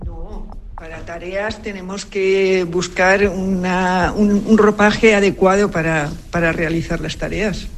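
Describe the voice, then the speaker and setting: A woman's voice: lowish voice, fairly even intonation, a little slow. A woman, a cloistered nun, answering interview questions in a firm, plain-spoken manner. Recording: clear background noise.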